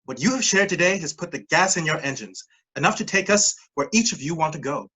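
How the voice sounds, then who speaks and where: An actor in a staged play reading playing a grandiose pilot who leads a scheme with ceremonious, motivational speech and a husky voice. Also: medium pitch, expressive, a little fast, bright, forceful tone. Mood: solemn, welcoming. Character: Peter, grandiose pilot leading a pyramid scheme.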